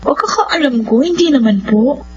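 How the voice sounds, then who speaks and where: A woman's voice: sits high, lively intonation, moderate pace, slight background noise. A woman playing a caring, respectful young woman with a Filipino accent in a radio drama. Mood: polite, uneasy. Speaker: drama voice actor. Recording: dull audio, humming, music underneath.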